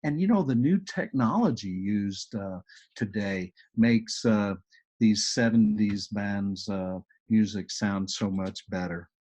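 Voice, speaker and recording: Texan male presenter, relaxed conversational delivery full of ums, muffled call audio. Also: lowish voice, takes it slowly. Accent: Texas. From Texas. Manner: relaxed, chatty, many ums and restarts. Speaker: agile coach, webinar presenter. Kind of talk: webinar explanation and Q&A.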